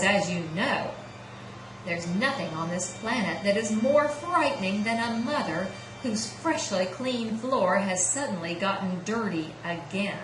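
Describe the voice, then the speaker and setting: Female storyteller for children's tale; unhurried, lively intonation, noisy roomy recording. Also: medium pitch. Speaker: storyteller, puppeteer. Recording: roomy sound with clear background noise.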